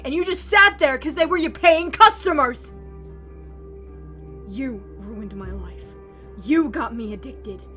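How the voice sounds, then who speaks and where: An actress as a bitter, wounded young sister with a high, piercing voice. She is accusing and cutting, profane in her blame, acting in an audio drama over light music. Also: sharp tone. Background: faint background music.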